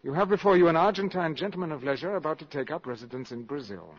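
An actor playing a smooth, cunning valet with polite, measured, formal speech in a 1950s radio drama. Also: male voice, a little high. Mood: cool, commanding. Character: smooth, cunning valet, deferential yet secretly arrogant. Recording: muffled 1950s radio broadcast.

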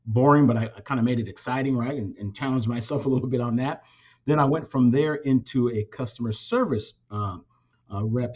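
Man in his fifties, spanish first language, mellow voice, stops and restarts a lot, kinda muffled audio. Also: medium pitch, breathy edge. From California. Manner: halting, many restarts and fillers. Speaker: company president, guest. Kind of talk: casual interview. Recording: dull lo-fi sound.

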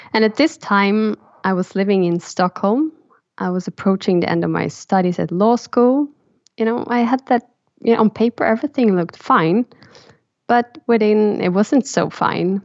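A woman's voice: mid-range voice, gravelly, darkish tone, dry recording, dull audio. A woman in her thirties from Sweden with a Swedish accent, thoughtfully telling her life story as a podcast co-host. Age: 30s. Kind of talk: personal storytelling in a podcast chat.

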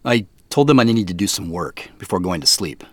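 Regular guy in a mystery audio drama, lowish voice that moves around a lot, talks natural. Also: animated, brisk, no room sound. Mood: casual, wry. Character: Joseph, adult man on a mysterious family quest.

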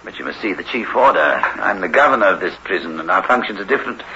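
An actor playing a middle-aged prison governor in a 1960s radio drama, formal, clipped and condescending. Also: male voice, sits low, lively intonation, quick pace. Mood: stern, dismissive. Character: stern, dismissive male prison governor, official and formal. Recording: muffled, noisy old radio recording.

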